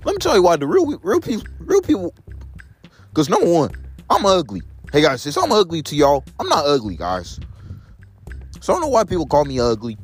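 Young guy with a deep voice vlogging on his phone outside, pitch swoops all over, keeps repeating himself, faint music behind. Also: wildly swooping pitch, brisk, breathy edge. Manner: repeats phrases over and over, addresses viewers as guys. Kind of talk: rambling phone vlog on a walk. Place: outdoors in the rain. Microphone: phone camera.

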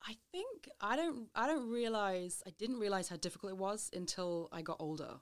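A woman's voice: mid-range voice, expressive. A woman in her thirties, a life coach, talks through her childhood as a guest on a podcast. Her voice sits in a middle range, and she tells her story openly, often breaking into laughter. She speaks in a thoughtful, reflective way. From Britain, raised between Scotland, Devon and Wales. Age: 30s. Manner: open, quick to laugh, reflective. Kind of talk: interview, personal story.

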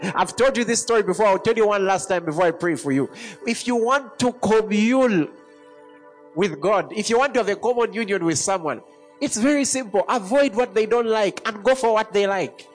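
A middle-aged man preaching a sermon. He talks in an animated way and laughs often between points. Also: high voice, normal speed, faint music behind. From Zambia.

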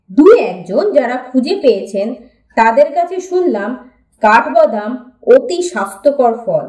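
High-voiced woman narrator in echoey room. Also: darkish tone. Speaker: audiobook narrator. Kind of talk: expressive scripted narration of a humorous story. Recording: roomy echo, distant from mic.

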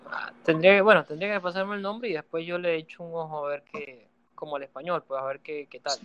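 A man's voice: fairly high pitch, fairly even intonation, quick pace, clear, resonant tone, no room sound, dull audio. Hesitant man. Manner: hesitant, repeats words, says "la verdad". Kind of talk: casual chat.

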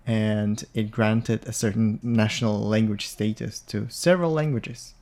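A man hosting an audio podcast, asking an expert guest measured, formal interview questions. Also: on the low side, creaky, a little mellow. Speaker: podcast host.

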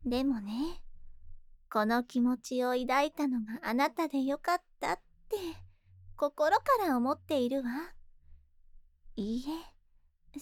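Woman as a slow, high-voiced lovesick spider woman. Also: dry recording, clean studio sound. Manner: slow, intimate, doting feminine speech. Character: lovesick female arachne spider-monster, tender, obsessively devoted. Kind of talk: Japanese ASMR situation voice drama.

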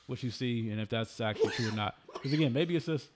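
A man's voice: medium pitch, quick pace, heavy background noise. A man in his thirties co-hosting a casual podcast, talking bluntly with teasing, slangy remarks. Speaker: podcast co-host. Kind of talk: casual chat.

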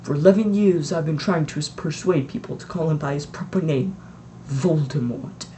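A reader voicing a gentle, calm old male wizard with a mildly amused manner. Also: mid-range voice, moderate pace, slight background noise, natural voice. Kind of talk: audiobook reading.

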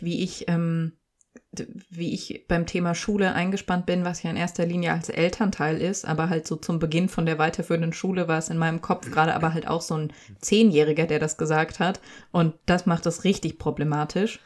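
A woman in her late twenties with a fairly low voice co-hosts a casual podcast. She speaks conversationally and pokes dry fun at herself. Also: flat delivery, fairly quick, a little mellow. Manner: self-deprecating, dryly joking. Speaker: podcast co-host, spoken-word artist.

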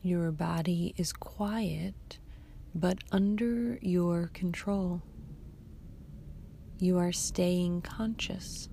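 A woman guiding a meditation in a soft, soothing, hushed voice that turns breathy at times, with unhurried instructions and long pauses. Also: on the low side, a little hiss or hum. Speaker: yoga nidra guide, host of the episodes. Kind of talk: guided meditation narration.

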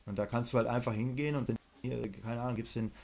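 A man's voice: mid-range voice, steady tone, quick pace, gravelly, a little mellow. A middle-aged man chatting casually on a podcast, rambling with many fillers and blunt, opinionated remarks.

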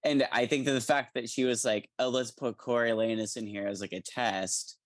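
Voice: mid-range voice, steady tone, moderate pace, no echo. Casual, emphatic podcast co-host, dry close sound. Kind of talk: casual book discussion.

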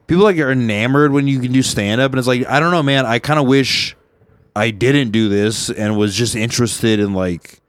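A young man with a deep voice, a comedian hosting a podcast in loose, self-deprecating chat. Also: moderate pace, dry recording. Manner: rambling, self-deprecating, swears casually. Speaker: podcast host, stand-up comedian.

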